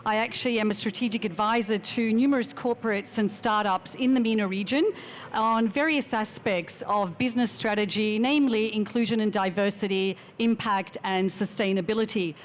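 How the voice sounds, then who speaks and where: A woman giving a measured, formal introduction as a panelist at a finance conference. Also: medium pitch, slight background noise. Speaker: panelist, strategic advisor.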